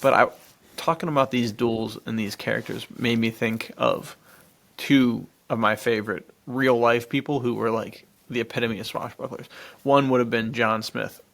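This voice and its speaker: A male podcast co-host with a noticeably creaky voice at an ordinary male pitch. He chats casually and enthusiastically, leaning on slang and calling his listener "dude.". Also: steady tone, normal speed, a bit bright.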